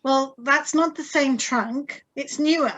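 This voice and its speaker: A woman plays an excitable wife in a radio suspense play. Her voice is high, and she is stubborn, eager and wide-eyed in her insistence. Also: takes it slowly. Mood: insistent. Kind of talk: radio drama script reading. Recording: live video-call recording.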